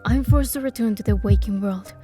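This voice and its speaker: A woman voicing a bold, curious young heroine, with expressive storytelling delivery in a fantasy game. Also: on the high side, a little fast, faint music behind, lightly acted. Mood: narrating, hushed wonder. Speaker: fan dub voice actor and narrator. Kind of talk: scripted first-person fantasy game narration and dialogue.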